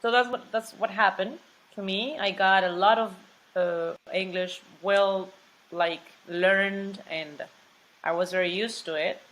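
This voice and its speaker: A woman from Mexico with a Mexican Spanish accent, speaking English as a second language as an interview guest, talkative and animated, often repeating words and saying "like". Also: medium pitch, measured pace, poor audio. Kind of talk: casual interview.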